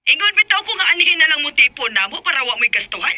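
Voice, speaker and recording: Sharp, nagging mother-in-law. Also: high-pitched, very animated, fast, full character voice. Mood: indignant, scornful. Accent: Cebuano Filipino. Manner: complaining, insistent. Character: nagging, meddling older mother-in-law stirring up trouble. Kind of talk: Bisaya radio drama acting.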